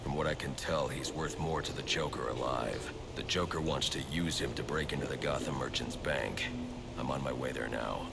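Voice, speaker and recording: An actor playing a grim, relentless masked vigilante detective in a video game, gravelly, terse and stern, over loud music. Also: male voice, rumbling low, moderate pace, very noisy. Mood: determined. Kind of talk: brooding video game cutscene acting. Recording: loud cinematic music behind.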